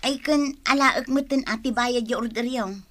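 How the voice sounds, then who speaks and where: A woman playing a brisk, shrewd neighbourhood fruit seller with a Filipino accent in a comedy radio drama. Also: a little high, fairly bright tone, lightly acted. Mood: polite, friendly. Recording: faintly noisy radio drama recording.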